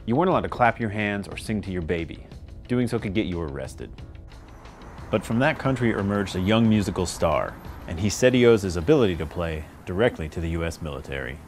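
A man narrates a news feature from a script, crisp and emphatic. His voice is low and he reads at a quick clip over a faint music bed. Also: animated, darkish tone.

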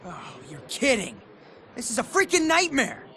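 A man playing a brash, excitable young skater in a video game cutscene. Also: very high-pitched, moderate pace, heavily acted. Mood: uneasy.

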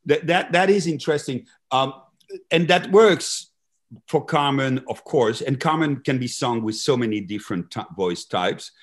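A man in his fifties hosting a conversational interview over a video call, halting and repeating phrases as he thinks. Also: mid-range voice. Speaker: host, conductor. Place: large living room, video call.

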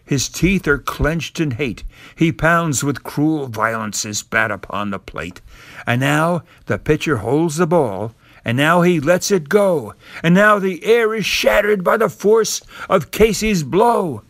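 A man recites narrative verse in a bright, forceful voice. He takes his time with each phrase and leans on the key words theatrically. The sound is close and dry. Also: a little high, lively intonation, no echo. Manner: theatrical, measured, emphatic delivery. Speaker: poem reader. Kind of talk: dramatic poetry recitation.

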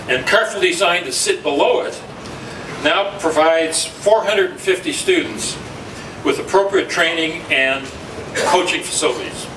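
A man giving steady explanatory remarks at a press conference. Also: a little high. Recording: echoey room from far off, noisy.

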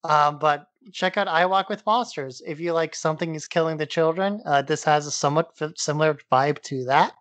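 A man's voice: on the high side, brisk, hardly any pauses, fairly bright tone, no room sound. A man co-hosting a podcast, talking casually and conversationally. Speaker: podcast co-host.

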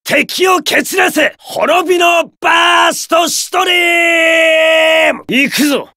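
An arrogant young male duelist from an anime game, his voice pushed very high and loud. He is domineering and theatrical, barking each command with a bright, forceful edge. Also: slow, no echo, studio quality, heavily acted. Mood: aggressive, commanding. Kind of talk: anime video game battle voice lines.

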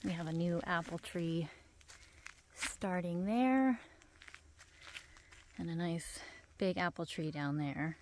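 Relaxed woman touring her garden outdoors. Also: lowish voice, slow, pauses often, a little hiss or hum. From Idaho, USA. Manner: relaxed, chatty, trailing off between points. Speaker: homesteader giving a garden tour. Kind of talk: casual walking garden tour. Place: outdoor fenced garden on a rural property.

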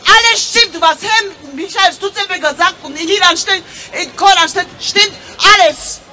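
Woman, 40s, German, very high and bright, nervous and impassioned, distant mic at a noisy street rally. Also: moderate pace. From Georgia. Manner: emotional, nervous, broken German, exclaiming. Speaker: rally speaker. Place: outdoor city square rally. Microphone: handheld mic through loudspeakers. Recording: distant voice, crowd and street noise.

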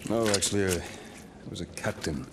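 An actor playing a proud, stern ranger and former captain in a video game cutscene, terse and serious. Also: male voice, low-pitched, normal speed. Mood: stoic. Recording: loud music behind the voice.

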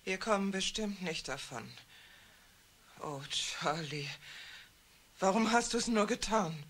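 A panicky scheming wife. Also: female voice, lively intonation, takes it slowly, fairly bright tone. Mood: anxious. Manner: nervous, shrill, pleading. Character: scheming middle-aged wife Lydia, panicky. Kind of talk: radio play acting.

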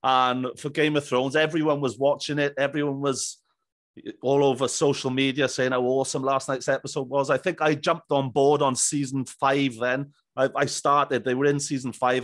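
Male podcast host, 30s, mid-range pitch, conversational, very dry recording. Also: steady tone, normal speed, a bit bright, dry recording. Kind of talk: casual interview chat. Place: small indoor room, on video call. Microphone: studio microphone on boom arm.

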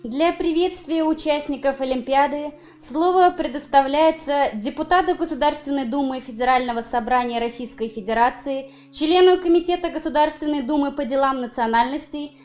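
Young female presenter, formal announcing, high bright pitch. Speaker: event presenter. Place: indoors in front of a logo backdrop.

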